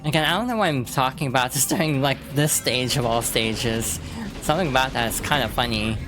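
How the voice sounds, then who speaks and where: A woman's voice: very deep voice, a little fast, low-quality recording. A woman streamer rambling through casual let's-play commentary, with lots of fillers and restarts. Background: game music.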